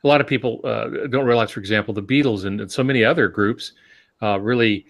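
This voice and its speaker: A man's voice: on the low side, fast talker, fairly bright tone. A man co-hosting a podcast in a conversational style, rambling a little as he builds his points through examples. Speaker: podcast co-host.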